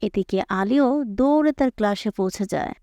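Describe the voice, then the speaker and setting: A woman's voice: medium pitch, expressive, a little fast, dark tone, clean tone, dry recording. A woman reading scripted story narration for a cartoon.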